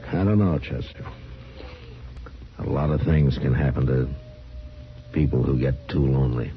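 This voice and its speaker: A man playing a steady, world-weary frontier marshal in an old-time radio western, sombre and plain-spoken. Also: rumbling low, measured pace, a little hiss or hum. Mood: grim, weary. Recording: dull old radio recording.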